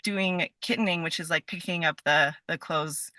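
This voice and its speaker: A woman's voice: on the low side, normal speed, sharp tone, dry recording. A woman chatting casually as a podcast guest, informal and rambling, with an enthusiastic manner. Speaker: podcast guest, scientist and performer. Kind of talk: casual chat.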